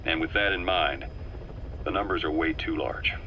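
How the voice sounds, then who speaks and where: An actor playing a wary, embittered mercenary second-in-command in a video game, speaking in a grave, emphatic, dramatic way. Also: male voice, extremely low pitch, moderate pace, sharp tone, slight background noise, exaggerated voice. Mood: wary, concerned. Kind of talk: scripted video game dialogue.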